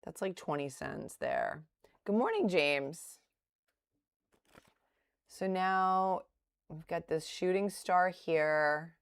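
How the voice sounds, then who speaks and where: A woman's voice: lowish voice, sing-song, slow, long pauses, smooth, dry recording, studio quality. A middle-aged woman with a light Boston accent, hosting a live day-trading stream and thinking aloud with hesitant restarts and laughing asides. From Boston area. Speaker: day trader livestream host. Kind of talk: live commentary while trading.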